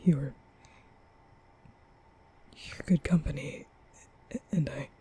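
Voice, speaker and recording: A man playing a nervous, gentle young vampire in an intimate ASMR roleplay, speaking hesitantly in a breathy half-whisper. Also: fairly high pitch, fairly even intonation, full character voice. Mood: earnest, flustered. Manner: hesitant, stammering, slow.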